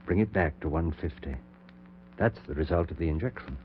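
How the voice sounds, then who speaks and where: A male actor plays a middle-aged surgeon bent on raising the dead, his voice low-pitched and intense. He gives clipped, commanding orders in the grave style of 1940s radio horror. The old broadcast recording is dull, with hum and faint noise. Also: fairly quick, lightly acted. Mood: confident, secretive. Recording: muffled, noisy, humming 1940s radio recording.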